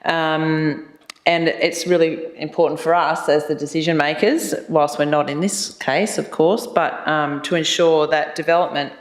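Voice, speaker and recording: Flat, formal meeting chair. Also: medium pitch. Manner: measured and formal, with frequent ums. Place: council chamber. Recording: echoey council chamber.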